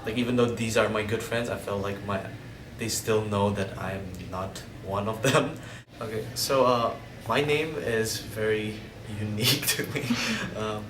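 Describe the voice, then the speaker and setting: A young man from Indonesia talks through his life story in a hesitant, searching way, stopping and starting as he finds his words. His voice sits a little low and reaches the mic from some distance in an echoey room. A steady background hum runs under everything he says. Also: a little slow, hardly any pauses. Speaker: interviewee. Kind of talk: personal storytelling interview. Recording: roomy, distant mic, ambient noise.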